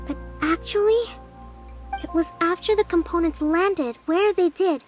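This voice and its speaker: A woman voicing a gentle, thoughtful young female general who reasons aloud in a measured, composed way for a video game. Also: extremely high pitch. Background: faint music.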